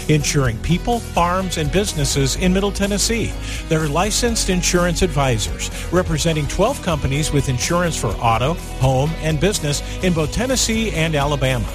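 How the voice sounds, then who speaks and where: A man reading a radio sponsor announcement in a clear, measured way. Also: on the high side. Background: music bed.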